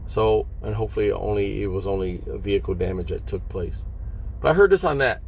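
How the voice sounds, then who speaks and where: A man, a long-haul trucker, talks casually and haltingly to his viewers from inside a parked truck cab, explaining things and checking in with short questions. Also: lowish voice, animated, creaky, darkish tone, poor audio. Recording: vehicle noise behind the voice.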